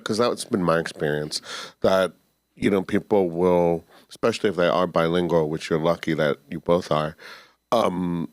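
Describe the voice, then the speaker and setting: A man's voice: sits low, no echo. A man in the audience asking thoughtful follow-up questions at a book talk. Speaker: audience member.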